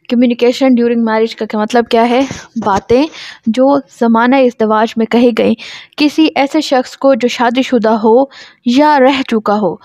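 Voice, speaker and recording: A woman's voice: a little high, darkish tone, no room sound, clean studio sound. A woman with a Pakistani accent giving an explanatory law lecture, reading aloud and explaining in a didactic way.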